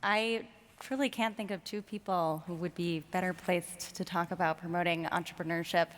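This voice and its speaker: A woman with a slightly low voice moderates a panel discussion. Her questions carry through a large, reverberant hall. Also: fairly even intonation, moderate pace. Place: conference stage in a large hall. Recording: reverberant hall, stage sound system.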